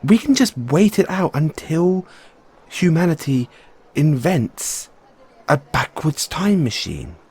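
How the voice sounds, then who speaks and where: A man playing a tender, excitable mad scientist boyfriend in an audio roleplay, speaking in a halting, geeky manner. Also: fairly high pitch, breathy edge, full character voice. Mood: eager, upbeat. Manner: halting, geeky, swinging between gentle and eager. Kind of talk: scripted audio roleplay to listener.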